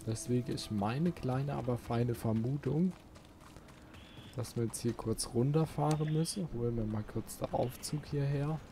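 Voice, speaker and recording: A man's voice: medium pitch, unhurried, mellow, slight background noise. A man giving casual gameplay commentary, musing informally to himself and his viewers as a let's play host.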